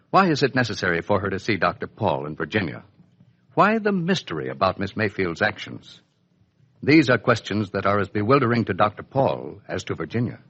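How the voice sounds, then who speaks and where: A man announcing and narrating a 1950s radio serial in a scripted, dramatic storytelling style with deliberate emphasis. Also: fairly low pitch, fairly bright tone, breathy edge. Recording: muffled old 1950s radio recording.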